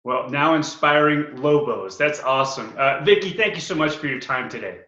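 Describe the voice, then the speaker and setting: Man, show host, upbeat announcer style, bright sharp tone, echoey room, dull lo-fi audio. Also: medium pitch, animated, normal speed. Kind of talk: radio-style interview hosting.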